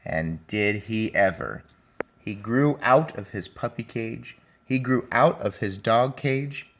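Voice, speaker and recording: A man reading a children's story aloud as a teacher, speaking deliberately and addressing young listeners directly. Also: fairly low pitch, animated, a little hiss or hum. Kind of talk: children's read-aloud with commentary.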